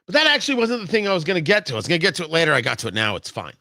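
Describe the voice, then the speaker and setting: A man's voice: on the high side, huge pitch swings, rapid-fire, bright, forceful tone, no echo, clean studio sound. An American man hosting a political talk show, delivering an opinionated monologue emphatically and repeating phrases for effect.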